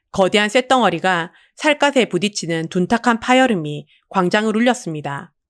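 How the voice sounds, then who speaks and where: A woman's voice: mid-range voice, no room sound, clean studio sound. A woman reading a dramatic scripted story as an audiobook narrator.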